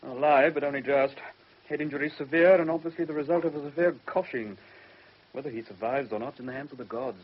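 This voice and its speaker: A clinical doctor in a radio play. His voice is husky and matter-of-fact, on a slightly muffled recording. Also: fairly high pitch, fast. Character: matter-of-fact doctor attending a crime victim. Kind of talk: British radio drama acting.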